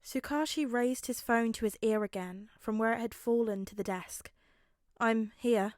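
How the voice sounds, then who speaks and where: Female podfic narrator, higher register, scripted storytelling, very little room sound. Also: normal speed, no room sound, studio quality.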